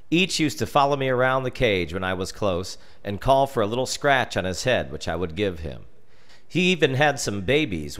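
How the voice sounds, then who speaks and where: Male American storyteller, lower register, highly varied intonation, theatrical scripted narration with dramatic pauses. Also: a bit bright. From United States. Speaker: storyteller reading his comic family memoir.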